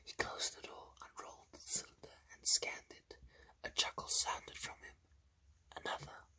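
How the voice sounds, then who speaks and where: A reader whispering a novel in ASMR style, pausing now and then to comment and apologize. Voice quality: soft unvoiced whisper. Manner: stops to comment and apologize mid-reading.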